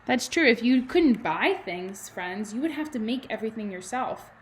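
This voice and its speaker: A woman, a kindergarten teacher reading to children and adding chatty, animated explanations and questions in simple words. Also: on the high side, moderate pace, a little hiss or hum. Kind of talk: children's read-aloud with chatty asides.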